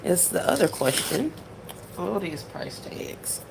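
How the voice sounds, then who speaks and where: A soft-spoken woman, chatting casually with viewers on a livestream while she works on gift baskets. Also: fairly low pitch, very animated, slow, gravelly, noisy background. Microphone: phone set down while working.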